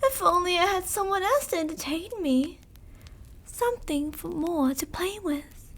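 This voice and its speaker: A woman acts out a female vampire commander for an ASMR roleplay, sadistic and possessive. Her voice sits high and she takes her time over each line. The delivery is theatrical and seductive, taunting the listener with pet names. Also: expressive, fairly bright tone, exaggerated voice, rough recording. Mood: silky, possessive. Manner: slow, theatrical, taunting, with terms of endearment. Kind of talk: ASMR roleplay, dramatic monologue.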